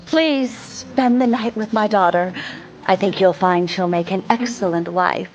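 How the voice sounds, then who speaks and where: A woman's voice: medium pitch, unhurried, darkish tone, muffled recording, slight background noise, lightly acted. A woman voicing a pushy mother who is trying to marry her daughter off, in an audio drama. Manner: pushy, gushing. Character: pushy middle-aged mother at a ball.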